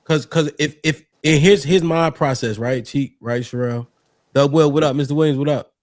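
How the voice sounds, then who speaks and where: A man's voice: on the high side, normal speed, a little mellow, no room sound, studio quality. A man hosting a casual debate podcast, talking in slang and often checking his points with short tag questions. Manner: slangy, punctuates points with "right?". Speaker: podcast host.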